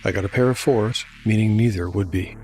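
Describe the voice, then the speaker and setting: A man narrating a fantasy tabletop game as storyteller and game master, in a measured, scripted delivery. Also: lowish voice, a little mellow, poor audio. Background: music.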